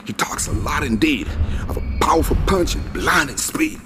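Radio play casting: cocky young male boxing champion, slightly high voice with big melodic swings, teasing and theatrical, over a noisy crowd. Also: big pitch swings, normal speed, music in the background. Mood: boastful, taunting. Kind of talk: radio play dialogue and rhymed boasting. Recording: noisy, with crowd chatter behind.

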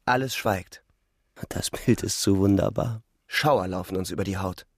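An actor playing a disillusioned young soldier who narrates a German radio drama in a reflective, sombre way. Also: male voice, low voice, normal speed, husky, no room sound, studio quality. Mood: observant.

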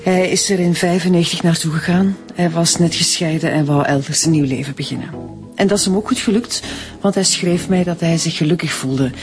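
A woman's voice: lowish voice, a little fast, faint music behind, lightly acted. An actress playing a guarded, worried woman in a radio drama, speaking hesitantly with a Flemish accent. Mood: guarded, sad. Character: guarded, worried sister questioned by police.

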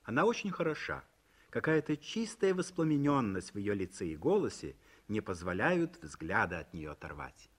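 Narrator, male, husky, lively delivery, old radio studio recording. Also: lowish voice, normal speed. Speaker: narrator reading stage directions. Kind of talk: 1950s radio play narration.

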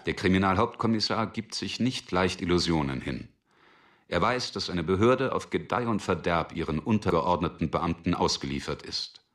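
Very deep-voiced male narrator. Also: expressive, moderate pace, fairly bright tone. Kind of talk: scripted narration of a radio drama.

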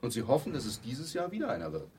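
A man's voice: mid-range voice, very animated, quick pace, darkish tone, slight background noise, close to an everyday voice. An actor playing a glib, self-assured advertising man who explains matter-of-factly and asks rhetorical questions in a radio play. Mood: matter-of-fact, knowing. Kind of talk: German radio play, conversational monologue acting.

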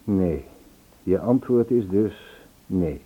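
A man's voice: low voice, animated, takes it slowly, husky, heavily acted. An actor playing an earnest, lovesick man searching for a woman in an old Dutch radio play. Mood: earnest, pleading. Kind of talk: Dutch radio play, acting and first-person narration. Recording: tinny phone-like old radio recording.

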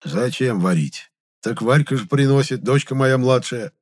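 A man's voice: mid-range voice, pitched above the performer's own voice, lightly acted. Man acting a rambling, cranky old village grandfather. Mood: chatty, matter-of-fact. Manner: folksy rustic speech, unhurried, rambling.

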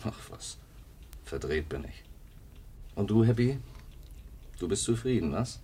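A man's voice: lowish voice, expressive, unhurried, mellow, slightly rough. An actor playing a restless, self-doubting drifter in his thirties in a 1950s German radio play, brooding, given to bursts of fervour. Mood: brooding, despairing. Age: 30s.

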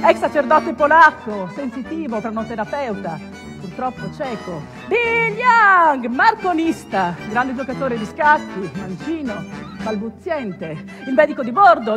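A woman playing a flamboyant, sardonic master of ceremonies on an ocean liner, showy and declamatory, with quick dry asides. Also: sits high, a bit bright. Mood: showy, booming. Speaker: stage actor. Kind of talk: theatrical comic announcement.

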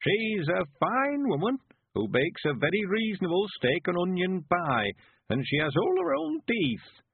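High-pitched, sprightly old West Country rustic. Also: male voice, wildly swooping pitch, gravelly, slightly rough, no echo, narrow, tinny audio, exaggerated voice. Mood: crafty, proud. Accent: rural English West Country. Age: 90s. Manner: folksy dialect, emphatic and drawn-out. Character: sprightly, cheerful 91-year-old rustic man. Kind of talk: audiobook character voice.

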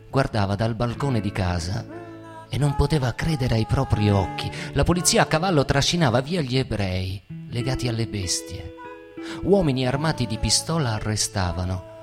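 Man, mid-pitched voice, theatrical narration, measured and emphatic, guitar music behind. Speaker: stage narrator and actor. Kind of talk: dramatic literary narration for theatre.

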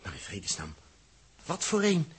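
Bossy male spaceship crewman for old radio sci-fi: swooping, animated delivery, clipped impatient orders, faintly noisy vintage sound. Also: a little high, normal speed. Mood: urgent, bossy. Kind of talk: 1960s Dutch radio drama acting. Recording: noisy, clicking 1960s radio recording.

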